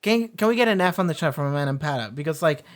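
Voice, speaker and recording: Man rambling casually, higher voice. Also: lively intonation, quick pace. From Argentina. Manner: loose, swearing, many fillers and restarts. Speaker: reaction video host.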